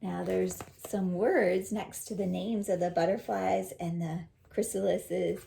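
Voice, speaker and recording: A woman teacher reading a children's picture book aloud and pausing to explain it in simple words. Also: on the low side, steady tone, creaky, mellow.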